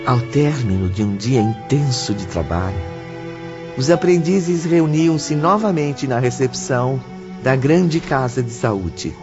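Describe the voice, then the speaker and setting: A man with a Brazilian accent narrating an audiobook in a solemn, deliberate, scripted style. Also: medium pitch. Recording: dull muffled audio under loud music.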